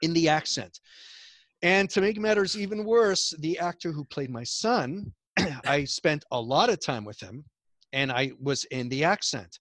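A man's voice: on the high side, normal speed, dry recording. A Canadian man, a guest actor, telling stories in an interview and acting out the people he quotes. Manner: animated storyteller who acts out quotes. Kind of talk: storytelling interview. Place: at home in a noisy neighborhood.

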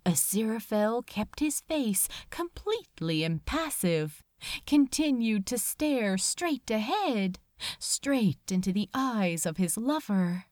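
Female audiobook reader: animated pitch, unhurried delivery, emotive and deliberate, dry recording. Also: no room sound. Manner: emotive, deliberate, dramatic pauses. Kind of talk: dramatic scripted fiction narration.

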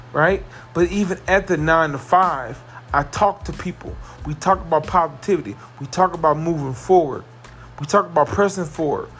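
A man hosting his own motivational podcast, his fairly high voice carrying well as he repeats his points with rising excitement. Faint music plays underneath him. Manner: earnest, repetitive, building to excited emphasis. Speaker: podcast host. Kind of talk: motivational solo podcast monologue.

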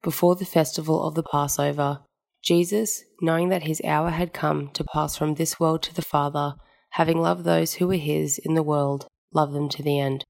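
Woman doing a solemn bible reading, really deep for a lady, lots of vocal fry. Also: steady tone, normal speed, a little mellow. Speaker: scripture reader. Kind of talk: solemn scripted Gospel reading.

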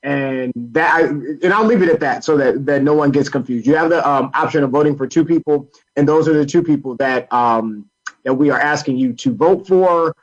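Male American political interviewee, slightly high voice, halting delivery with restarts, muffled low-fidelity recording. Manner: halting, repeats words while explaining. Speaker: guest, labor council political director. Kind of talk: radio interview, political advocacy talk.

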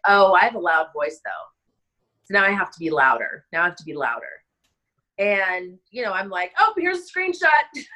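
A woman's voice: lowish voice, sharp tone, low-quality recording. An interview guest, a woman who talks in an impassioned, rambling way and strings her thoughts together with lots of fillers. She sounds stressed and overwhelmed as she speaks. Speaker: interview guest, wedding planner. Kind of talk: emotional conversational interview.

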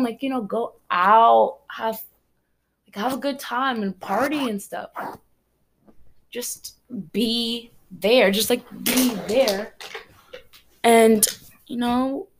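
A woman's voice: a little high, slight background noise, low-quality recording. A teenage girl from Cameroon hosting her own podcast, talking in a chatty, rambling, earnest way. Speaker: podcast host. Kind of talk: casual solo podcast chat.

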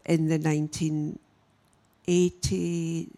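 A woman, giving a church message as a missionary and telling her story in a halting, unhurried way with frequent pauses. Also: deep voice, flat delivery, dark tone. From Scotland. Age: 60s. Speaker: guest speaker, missionary. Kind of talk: church message, personal testimony.

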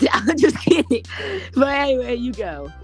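A woman's voice: a little high, big pitch swings, measured pace, faint music behind. A woman co-hosting silly banter, giggly and teasing. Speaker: co-host.

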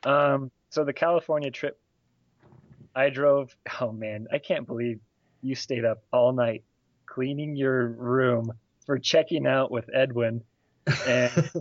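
A man's voice: medium pitch, takes it slowly, no room sound, highs cut off. A man in his forties telling stories from his student days and asking questions, often laughing mid-sentence. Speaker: podcast co-host asking questions. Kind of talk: casual chat.

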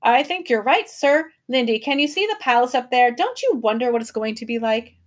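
A woman's voice: on the high side, expressive, a little fast. A woman voicing a boy who chatters politely to stay brave, in a storybook reading.

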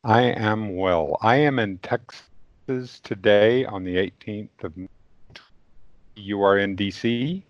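A man born in Mexico hosting a casual interview, asking questions in a deliberate way with stumbling restarts. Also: lowish voice, no room sound, dull audio. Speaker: interview host.

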